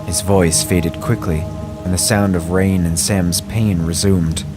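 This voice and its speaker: A man reading a scripted first-person horror story in a measured, grave way. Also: low voice, fairly even intonation. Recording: music bed under the voice.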